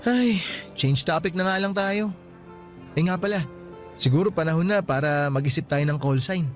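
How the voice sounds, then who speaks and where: A teasing, affectionate young student boyfriend in a radio play, voiced by a man with a fairly high voice. He jokes easily but speaks frankly when he gets serious, with soft music in the background. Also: moderate pace, close to an everyday voice. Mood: playful. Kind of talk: radio drama acting.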